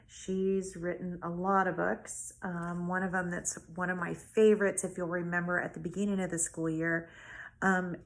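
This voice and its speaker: A woman schoolteacher talking and reading aloud to children in an expressive way. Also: fairly low pitch. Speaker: elementary school teacher. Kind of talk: casual talk to pupils and storybook read-aloud.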